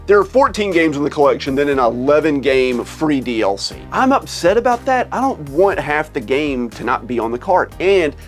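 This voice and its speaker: A man hosting a gaming vlog, sick with a cold and losing his voice, ranting in an exasperated, animated way from his game room. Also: a little high. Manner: exasperated, animated complaining with laughs. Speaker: gaming channel host. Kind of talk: casual vlog rant.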